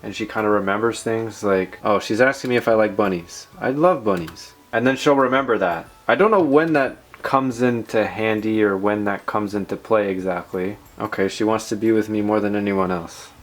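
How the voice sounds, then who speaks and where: A man hosting a tech video, chatting informally and rambling through a software demo with playful asides. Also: lowish voice, normal speed, few pauses, faint music behind. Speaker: tech channel host. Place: indoors at a desk in a room.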